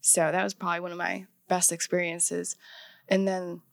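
A woman's voice: fairly low pitch, level tone, moderate pace, vocal fry, dry recording, studio quality. A young woman, a painter and singer, chatting as a guest in an interview with lots of "like" fillers. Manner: chatty, many "like" fillers, laughs often. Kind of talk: casual interview chat.

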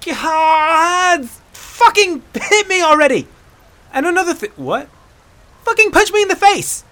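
A man's voice: fairly high pitch, big pitch swings, moderate pace, pitched above the performer's own voice. A man voicing a fierce, brash, exasperated werewolf huntress in a dramatic fantasy story, given to swearing and stammering outbursts. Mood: furious, goading. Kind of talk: dramatic fantasy audiobook character voice.

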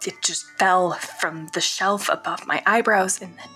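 An actress playing a shaken young woman who talks in halting whispers about eerie visions, with music underneath. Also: lowish voice, bright, forceful tone. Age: young adult. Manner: breathless, halting, frequent whispers. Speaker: haunted young woman recording a diary. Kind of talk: found-footage audio drama monologue. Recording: music bed behind the voice.

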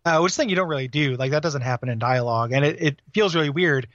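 A man's voice: fairly high pitch, rapid-fire, fairly bright tone, no room sound, muffled recording. A man hosting a casual game podcast, rambling with lots of fillers and frequent laughs. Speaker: podcast host.

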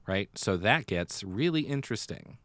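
A man's voice: lowish voice, animated, normal speed, fairly bright tone, no echo. An American man co-hosting a podcast, chatting casually with a wry, dry sense of humor. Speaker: podcast co-host. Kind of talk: casual theatre news chat.

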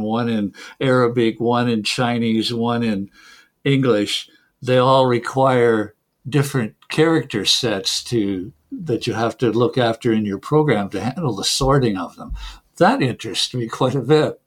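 A middle-aged man who grew up in Canada talks through his answers in a relaxed interview. He often stops, repeats a word and starts again, and drops in small chuckles between points. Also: medium pitch, unhurried. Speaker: software developer guest.

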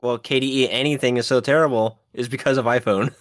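A man's voice: medium pitch, fairly even intonation, a little fast, no room sound, clean studio sound. A man co-hosting a casual tech podcast, explaining features steadily with frequent "uh" fillers. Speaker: podcast co-host.

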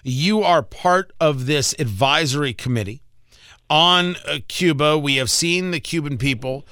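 A man hosting a political interview, asking long, deliberate, emphatic questions in halting phrases. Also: fairly high pitch, bright, forceful tone, dry recording, studio quality. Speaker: radio interviewer, host.

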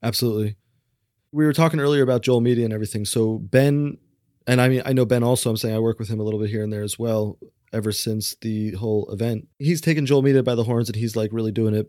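A man hosting a podcast interview, asking quick follow-up questions and offering brief agreement. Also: lowish voice, fairly even intonation, dark tone, no room sound, clean studio sound. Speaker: podcast host. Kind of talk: casual interview.

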